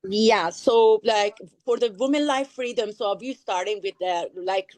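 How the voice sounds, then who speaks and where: A woman's voice: mid-range voice, unhurried, a little mellow, no room sound, low-quality recording. Iranian woman panelist, 30s, non-native English, lots of "uh" and "like", dry close sound. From Iran. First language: Persian. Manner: hesitant, many fillers, non-native phrasing. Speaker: panel guest. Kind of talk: webinar panel discussion. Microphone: video call.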